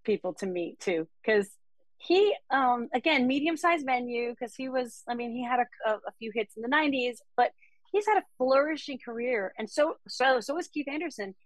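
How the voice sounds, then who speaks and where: A woman's voice: high voice, animated, normal speed, dry recording, low-quality recording. Chatty woman singer-songwriter, dry sound. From Denmark. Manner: chatty, enthusiastic storytelling. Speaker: country singer-songwriter guest. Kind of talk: casual interview conversation.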